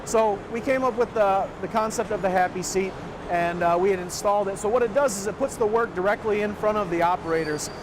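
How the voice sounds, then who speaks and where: Very high-pitched man over factory noise. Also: fairly quick. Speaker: plant staffer explaining the process. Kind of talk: informal interview explanation. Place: large indoor car assembly plant. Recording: factory machinery noise around voice.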